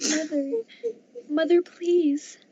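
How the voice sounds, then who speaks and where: A woman's voice: high-pitched, fairly even intonation, slow pace, dark tone, a little hiss or hum. A woman playing a caring, sorrowful young daughter in a radio drama, tender and pleading. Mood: pleading concern. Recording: muffled lo-fi audio.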